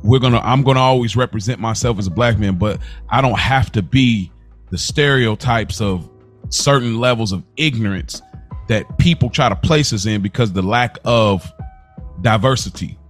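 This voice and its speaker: A man's voice: fairly low pitch, moderate pace, faint music behind. A man co-hosting a podcast, talking in a casual, earnest way and often repeating himself. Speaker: podcast co-host. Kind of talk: casual chat.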